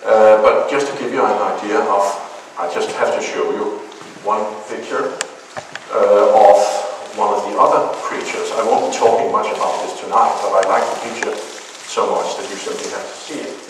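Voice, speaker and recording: A man's voice: on the low side, fairly even intonation, normal speed. A man with a Danish accent giving a lecture to an audience, hesitant with many filler sounds and dry humor. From Denmark. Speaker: zoologist giving a talk. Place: large hall. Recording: echoey hall, distant mic, noisy.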